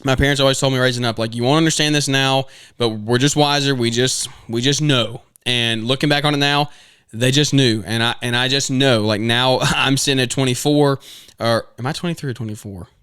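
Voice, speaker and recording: A man's voice: medium pitch, steady tone, brisk, fairly bright tone, smooth, no room sound, clean studio sound. A man in his twenties hosting a podcast, giving casual advice in an earnest, rambling way with many restarts and fillers. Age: 20s. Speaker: podcast host.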